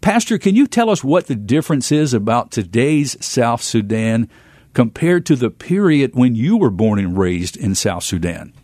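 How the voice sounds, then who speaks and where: American radio host, dry studio sound. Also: male voice, medium pitch, big pitch swings, moderate pace, a little mellow, no room sound.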